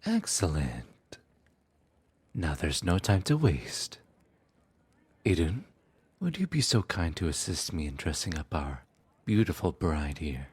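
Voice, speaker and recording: A man acts out a scheming trickster god in a fantasy audio drama. His voice is deep and a touch rough, recorded dry and close, with lively swoops as he teases with unhurried, theatrical politeness. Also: dry recording, heavily acted. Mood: smug. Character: sly, teasing trickster god, smooth-talking schemer. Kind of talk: audio roleplay acting.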